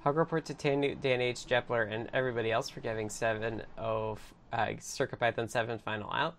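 A man's voice: mid-range voice, steady tone, a little fast, fairly bright tone. A man hosting a casual online team meeting, passing the turn along and giving updates, sometimes reading from notes. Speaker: meeting host.

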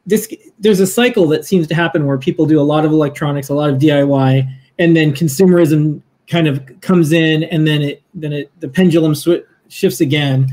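A male co-host speaks casually, as on a live community show. His voice is a little higher than most men's, and he moves between chat and passing things along to guests. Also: brisk, a little mellow.

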